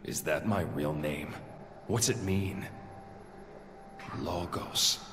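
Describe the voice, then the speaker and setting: Deep-voiced, brooding, defiant villain. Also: male voice, extremely slow, background noise. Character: brooding, defiant villainous son confronting his maker. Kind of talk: dramatic video game voice acting. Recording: echoing space, music under voice.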